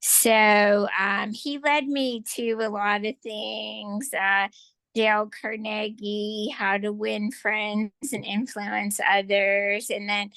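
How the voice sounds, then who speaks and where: A woman guest in a casual interview who shares reflective stories, often pausing with fillers. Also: mid-range voice, level tone, a bit bright, clear, resonant tone, no room sound. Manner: reflective storyteller, lots of fillers.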